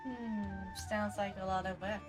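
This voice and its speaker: A woman's voice: medium pitch, steady tone, a little slow, music in the background. A woman hosting a livestream, chatting casually and reacting to a game in an easygoing, hesitant way that trails off between thoughts. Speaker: livestreamer, VTuber host.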